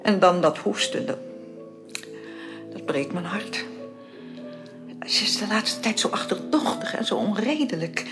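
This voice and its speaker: Worried society wife, plaintive and formal, medium pitch, radio play with music under it. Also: female voice, expressive, measured pace, long pauses. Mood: distressed. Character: anxious, weary married upper-class lady, caring. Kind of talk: Dutch radio drama acting.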